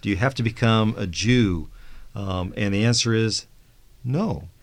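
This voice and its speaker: Low-voiced man explaining scripture. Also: measured pace. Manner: repeats words while thinking, adds tag questions. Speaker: panelist, Bible teacher. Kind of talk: panel Bible study discussion.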